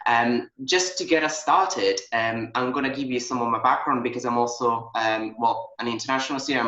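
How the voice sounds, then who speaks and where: Echoey, bright-voiced young Italian man. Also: medium pitch. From Italy. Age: 20s. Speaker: host of a student panel. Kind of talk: hosting an online panel discussion. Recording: echoey room, muffled online call audio.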